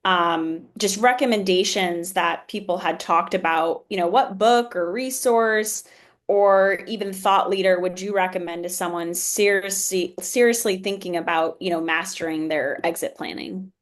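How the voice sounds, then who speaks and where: A woman's voice: on the low side, moderate pace. A woman hosting a casual business podcast, asking questions in a friendly, chatty way. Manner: friendly, chatty, laughs often. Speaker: podcast host.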